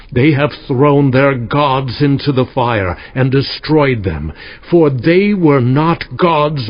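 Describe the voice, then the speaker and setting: A man's voice: medium pitch, breathy edge. An actor playing a pious king pleading solemnly in fervent prayer in a dramatized Bible audio production. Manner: slow, solemn, imploring. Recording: dull, band-limited audio.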